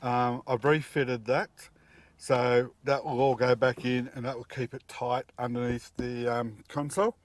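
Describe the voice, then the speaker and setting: A man's voice: medium pitch, level tone, a little slow, dry recording. Middle-aged man giving practical DIY instructions. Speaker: DIY presenter. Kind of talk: casual step-by-step tutorial. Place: outdoors at a car engine bay and inside the vehicle cab.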